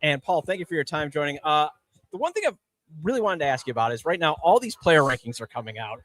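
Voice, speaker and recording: Fast-talking man hosting a sports interview. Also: fairly high pitch, expressive, a bit bright. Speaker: podcast host interviewing guests. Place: live at a baseball fan convention.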